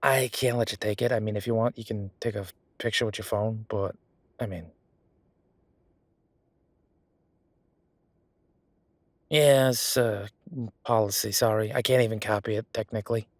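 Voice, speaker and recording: A man's voice: on the low side, fairly even intonation, a little fast, dry recording. A man playing a chatty, sardonic records clerk who rambles wryly in a roleplay audio drama. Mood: reluctant, conspiratorial. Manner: rambling, wry, exaggerated feigned innocence.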